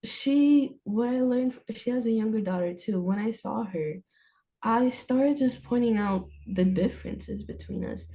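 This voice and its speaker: A young woman, a native Armenian speaker, answers a host's questions at an unhurried, slow pace. Her voice is mellow and warm, and she pauses and restarts as she looks for the right words. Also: a little high, animated, low-quality recording. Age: young adult. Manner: hesitant, searching for words, many restarts. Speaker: student guest. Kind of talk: casual interview answers.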